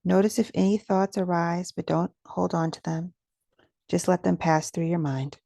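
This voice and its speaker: A woman leading a guided meditation as its teacher, speaking in a gentle, soothing, instructional manner with soft pauses. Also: on the low side, fairly even intonation, gravelly, dry recording, studio quality.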